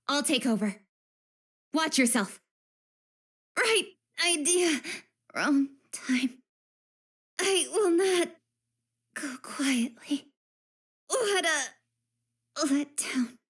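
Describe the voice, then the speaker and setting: An actress playing a principled, impatient but sincere young woman official in an English game dub, speaking in a crisp, earnest, assertive way. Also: high-pitched, exaggerated voice. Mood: urgent, battle-ready.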